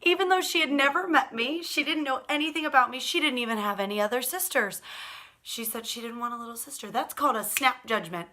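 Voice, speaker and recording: A woman doing an animated, expressive children's storytime read-aloud, speaking directly to young listeners with friendly asides. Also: high-pitched, brisk. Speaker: teacher reading picture books aloud to children.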